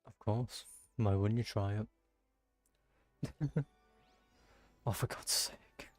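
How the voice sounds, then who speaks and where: A man's voice: fairly low pitch, flat delivery, slow, faint music behind. A man giving casual, offhand gameplay commentary, half muttering to himself as he plays. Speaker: video game player commenting on gameplay.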